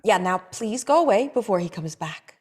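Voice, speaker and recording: A woman reads a script aloud at a table read. Her delivery is dry and blunt, full of quick comebacks, in a middle-pitched voice. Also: expressive, breathy edge.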